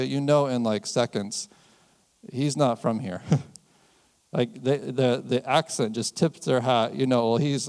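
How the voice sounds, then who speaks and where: An American man preaching a sermon in a conversational way, chuckling often and slowing down to stress key phrases. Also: medium pitch, creaky, darkish tone. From United States. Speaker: pastor.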